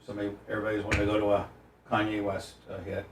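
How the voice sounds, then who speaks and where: A man's voice: on the low side, monotone, very fast. A male radio host bantering casually and profanely in a blunt, self-deprecating way, picked up roomy and from a distance. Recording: roomy, distant, faintly noisy pickup.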